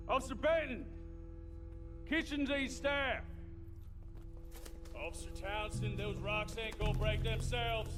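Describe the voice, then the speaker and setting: An actor playing a harsh prison guard in a video game, shouting orders at prisoners. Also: male voice, extremely high pitch, pitched above the performer's own voice.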